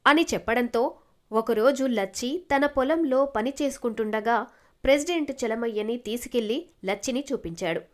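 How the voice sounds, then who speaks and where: A woman's voice: a little high, normal speed. A woman narrator reading a scripted moral story.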